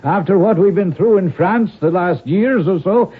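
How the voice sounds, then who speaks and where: An actor playing a loyal, humble Irish manservant with a rough, husky voice and an Irish accent, in old radio drama style. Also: male voice, sits high, moderate pace. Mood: cheerful, devoted. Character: loyal, humble male Irish family servant. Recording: narrow-band old radio broadcast.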